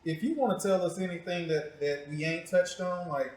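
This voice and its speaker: A man's voice: sits high, normal speed, a little mellow. An American man interviewing as a show host in a casual, joking way, with teasing, provocative, slangy talk. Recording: echoey, reverberant room.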